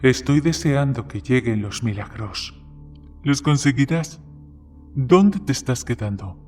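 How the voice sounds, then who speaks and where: A man's voice: medium pitch, lively intonation, slow pace, a little mellow. A man playing guarded male characters in the dialogue of an audio horror story. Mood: casual. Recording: background music under the voice. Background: music.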